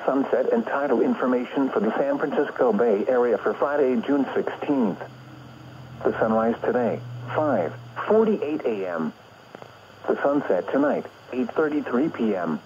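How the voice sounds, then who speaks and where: A synthetic male announcer with an American accent reads a scripted weather bulletin over the radio in formal, impersonal, measured phrasing. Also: mid-range voice, vocal fry, a little mellow. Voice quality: synthetic text-to-speech voice. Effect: radio broadcast. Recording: dull lo-fi radio reception, noisy.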